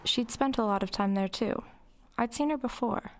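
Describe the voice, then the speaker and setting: A woman's voice: on the high side, normal speed, lightly acted. A woman playing a wry, reflective narrator recalling her troubled girlhood in a confiding first-person dramatic narration.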